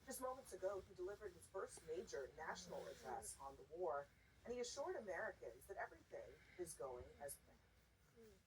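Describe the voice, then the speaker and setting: A woman anchoring a news broadcast and moderating a panel in a measured, questioning way. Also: lowish voice, big pitch swings, recorded from a distance, background noise.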